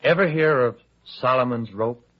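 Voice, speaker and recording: An actor playing a cocky, wisecracking tabloid reporter in an old-time radio drama, teasing and mock-grand. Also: male voice, medium pitch, moderate pace, natural voice. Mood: self-important. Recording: muffled old radio broadcast recording.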